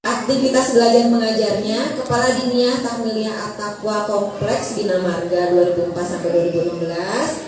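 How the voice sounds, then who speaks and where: A woman's voice: medium pitch, steady tone, normal speed, crowd noise. A woman, a moderator reading out a speaker's background and asking questions in a cheerful, playful way. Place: large echoey hall with an audience. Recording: echoey hall, distant mic, audience murmur.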